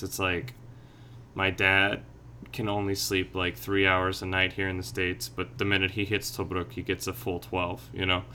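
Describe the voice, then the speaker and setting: A man's voice: low voice, bright, forceful tone, a little hiss or hum. A man chatting casually on a podcast, reflective and meandering as he thinks aloud.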